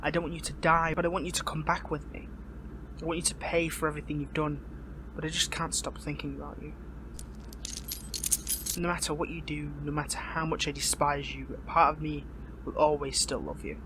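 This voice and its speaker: An earnest, lovelorn young hero held captive, acted by a man in a dramatic audio roleplay. His voice sits fairly high for a man and stumbles into stammers and breathy pleading as he wrestles with his loyalties. Noticeable noise sits behind the recording. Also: level tone, fairly quick, natural voice. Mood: worried, tender.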